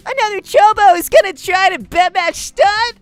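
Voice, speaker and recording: A man voicing an excitable middle-school kid jeering from a crowd in a visual novel. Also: very high-pitched, moderate pace, dry recording, soft background music, pitched above the performer's own voice. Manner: loud, jeering.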